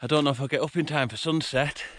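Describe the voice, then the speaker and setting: A man's voice: on the high side, quick pace, slight background noise. A man, chesty after an illness, chatting and thinking aloud as he hikes up a mountain path. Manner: chatty, thinking aloud, coughs now and then. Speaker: hiker vlogger. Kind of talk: casual outdoor vlog narration.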